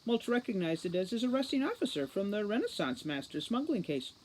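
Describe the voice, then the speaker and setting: A woman's voice: mid-range voice, very animated, fairly quick, a little mellow, slight background noise, low-quality recording. A woman reading scripted storybook narration as an audiobook reader.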